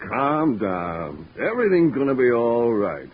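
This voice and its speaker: Swooping, fussy, husky shipping foreman. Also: medium pitch, sing-song, unhurried. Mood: placating, dithering. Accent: American. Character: fussy, soothing, long-winded male shipping foreman. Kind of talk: 1940s comic radio acting. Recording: dull vintage 1940s radio broadcast.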